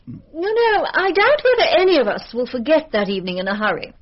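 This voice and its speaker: A woman's voice: high-pitched, huge pitch swings, brisk. An actress playing an effusive, sociable society lady, gushing and chatty, in a radio drama. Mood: animated. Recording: dull lo-fi radio recording.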